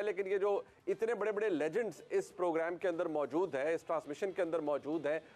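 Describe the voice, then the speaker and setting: High-voiced male studio sports host. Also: a little mellow. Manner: fluent, formal welcoming host with many fillers in long questions. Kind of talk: studio broadcast presenting.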